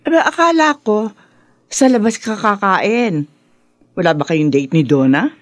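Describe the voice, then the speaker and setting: A woman's voice: mid-range voice, expressive, a little slow, no echo, close to an everyday voice. An actress playing a proud, pushy, middle-aged mother in a Filipino radio drama, doting and given to exclaiming. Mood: breezy, coaxing. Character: proud, pushy, flamboyant unwed mother of grown son.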